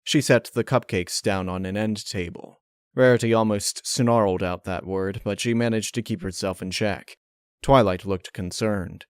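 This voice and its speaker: A man's voice: fairly low pitch, fairly even intonation, moderate pace, clean tone, dry recording. Man narrating a story, very dry recording. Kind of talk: scripted narration of a dark fan-fiction story.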